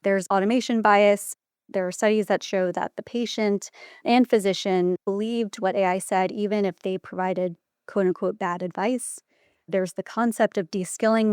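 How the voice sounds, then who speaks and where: Clear-voiced woman explaining in an interview. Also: medium pitch, no echo, clean studio sound. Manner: articulate, organized, point by point. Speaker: podcast guest, physician. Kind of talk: interview answers, measured explanatory talk.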